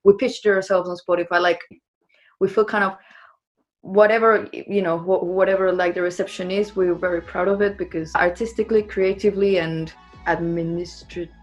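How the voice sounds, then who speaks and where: A woman's voice: lowish voice, fairly even intonation, brisk, muffled recording, soft background music. A woman chatting informally as an interviewed band member, in a loose, conversational way. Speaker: band singer and songwriter being interviewed. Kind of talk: casual chat.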